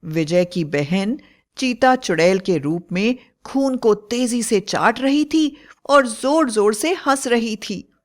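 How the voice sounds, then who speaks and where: Expressive, slow-paced woman storyteller. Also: a little high. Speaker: storyteller narrator. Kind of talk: dramatic scripted bedtime horror story narration.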